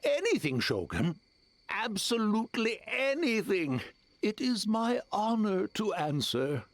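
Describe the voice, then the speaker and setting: A man's voice: high voice, very animated. A man voicing a grumpy guardian statue spirit in an anime game, theatrical and fawning. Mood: flustered, fawning. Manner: theatrical, drawn-out.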